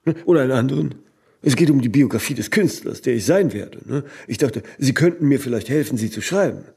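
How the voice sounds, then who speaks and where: An actor playing a stubborn, impatient ageing artist in a radio play, husky and creaky, insistent, often ending on small tag questions. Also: male voice, mid-range voice, expressive, fast, mellow, exaggerated voice. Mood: impatient, needling.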